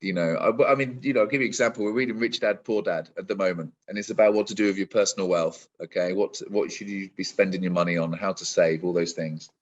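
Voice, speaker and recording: A man in his forties, a business coach, answering interview questions in a relaxed, rambling way with many restarts over a video call. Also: sits low, fast talker, few pauses, highs cut off. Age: 40s.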